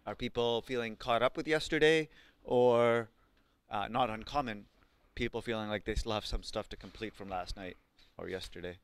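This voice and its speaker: Smooth-voiced man lecturing a class. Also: lowish voice, moderate pace. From Pakistan. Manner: conversational, many ums and likes, asks the class questions. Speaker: coding bootcamp instructor.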